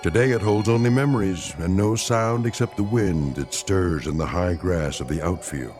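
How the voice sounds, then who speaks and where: A man giving measured, ominous scripted narration for a radio drama. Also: sits low, darkish tone, soft background music, natural voice.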